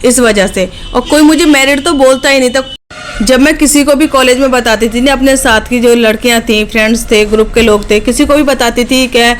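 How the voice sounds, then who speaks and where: A woman's voice: a little high, moderate pace, a little hiss or hum. A woman in her late twenties, a vlogger chatting directly to her viewers in a casual, frank way, often checking in with "okay". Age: late 20s.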